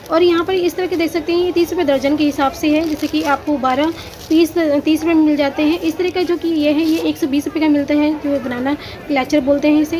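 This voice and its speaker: A woman's voice: sits high, a little mellow, a little hiss or hum. A woman shopping vlogger giving a walking tour of an outdoor street market, talking chattily to viewers about prices with many hesitations. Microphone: handheld phone, camera pointed at goods.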